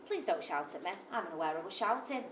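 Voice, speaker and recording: A woman's voice: lowish voice, expressive, quick pace, distant mic, a little hiss or hum, natural voice. A woman playing a raw, volatile mother. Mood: furious. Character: British mother with bipolar disorder, raw and volatile. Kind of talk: theatrical monologue. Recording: echoey stage recorded from afar.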